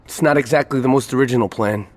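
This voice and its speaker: An actor playing a skeptical, laconic street gang member in a video game, talking in a dry, casual way. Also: male voice, medium pitch, fast, big-room sound, close to an everyday voice.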